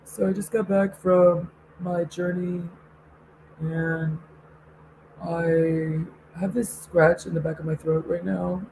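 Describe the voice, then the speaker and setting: A woman's voice: lowish voice, level tone, slow, gravelly, noisy background. A woman putting on a fake, overly cheerful male video host in a mocking singsong.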